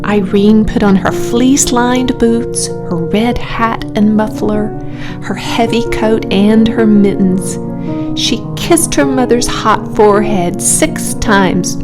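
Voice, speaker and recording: Female storybook narrator, unhurried and expressive, mid-pitched, with prominent music underneath. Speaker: storyteller reading a picture book. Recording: music behind the voice.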